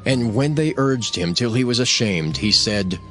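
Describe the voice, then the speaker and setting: A man's voice: mid-range voice, animated, measured pace, sharp tone. A man giving solemn, dramatic scripted narration of scripture as a storyteller. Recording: music behind the voice. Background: prominent background music.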